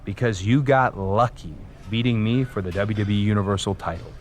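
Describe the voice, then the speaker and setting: An actor playing a competitive, self-assured wrestler with an assertive, earnest manner in a video game cutscene. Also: male voice, fairly low pitch, a little fast, slight background noise, lightly acted. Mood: challenging.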